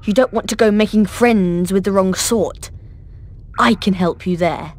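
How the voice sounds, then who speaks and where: A woman's voice: medium pitch, unhurried, a little mellow, natural voice. A woman voicing a snobbish, sneering English schoolboy wizard bully in a video game, with a haughty, mocking drawl.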